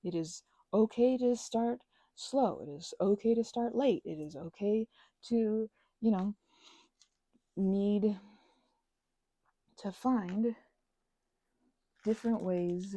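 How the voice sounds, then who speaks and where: Mellow, husky woman rambling casually. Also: mid-range voice, slow pace, pauses often, no room sound. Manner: meandering, trails off into asides. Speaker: ASMR and audio channel creator. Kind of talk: rambling casual chat to listeners.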